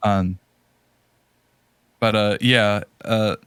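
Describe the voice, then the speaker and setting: A man's voice: fairly low pitch, fairly even intonation, extremely slow, a bit bright, clean tone, dry recording. Man, podcast co-host, close dry sound, casual chat, rambling, opinionated. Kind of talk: casual chat about video games.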